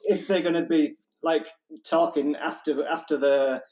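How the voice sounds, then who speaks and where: A man's voice: a little high, fairly even intonation, a little slow, a little mellow. A man, a wedding photographer chatting casually and telling anecdotes with the odd laugh.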